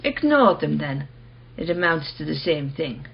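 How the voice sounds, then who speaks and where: A low-voiced, husky woman in a radio drama, earnest and quietly challenging. She puts her questions in a measured, persistent way. The audio is a little dull. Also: very animated, exaggerated voice. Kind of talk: radio play acting.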